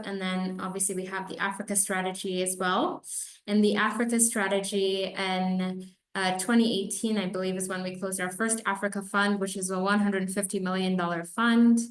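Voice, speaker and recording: A woman from Kenya giving conversational answers as an investor being interviewed on a webinar call. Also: medium pitch. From Nairobi, Kenya. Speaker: venture capital investor, interview guest. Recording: roomy echo on webinar call.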